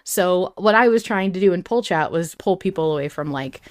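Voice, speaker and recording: A woman's voice: on the low side, animated, normal speed. A woman hosting a podcast, talking casually and emphatically with opinions and casual swearing. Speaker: podcast host. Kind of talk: casual discussion chat.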